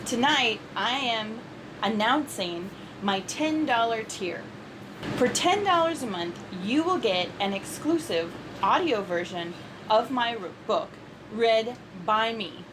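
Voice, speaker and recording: A woman writer speaking carefully and deliberately, like an amateur reading her own work aloud. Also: fairly high pitch. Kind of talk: amateur announcement and audiobook narration. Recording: clear steady background noise.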